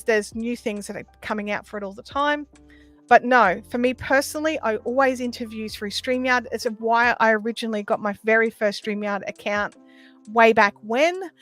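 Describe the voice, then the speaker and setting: High-voiced woman livestreamer. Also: moderate pace, a bit bright, faint music behind. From Australia. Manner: conversational, explanatory. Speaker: livestream host. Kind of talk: casual livestream chat answering viewer questions. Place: small sound-treated home room.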